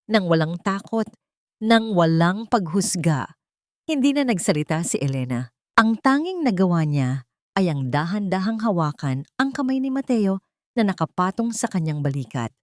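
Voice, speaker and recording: A woman's voice: sits low, a little mellow, dry recording, studio quality. A woman reading a scripted, dramatic love story as its narrator.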